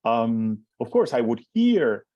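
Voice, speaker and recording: A man's voice: medium pitch, sing-song, slow pace, dark tone, no echo, lo-fi sound. A man in his forties from Chile with a Chilean Spanish accent, giving a conference talk as a professor, hesitant with many restarts and fillers. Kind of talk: conference presentation.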